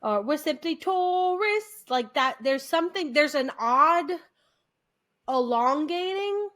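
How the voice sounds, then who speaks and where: An American woman co-hosting a podcast, chatting casually, animated and quick to restart her sentences. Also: high-pitched. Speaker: podcast co-host. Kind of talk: casual chat.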